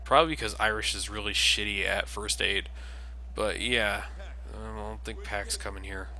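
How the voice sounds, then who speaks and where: Low-voiced man, sardonic game commentary. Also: fairly even intonation, normal speed, vocal fry, pushed and bright, low-quality recording. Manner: sardonic, complaining, sweary. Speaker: let's play commentator. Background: video game sounds.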